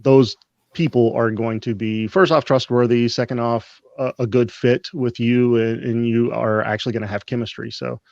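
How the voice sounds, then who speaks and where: A man from Texas hosting a casual interview over a video call, relaxed, with "uh" and "you know" fillers. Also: medium pitch, moderate pace, creaky, dry recording, dull audio. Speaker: host.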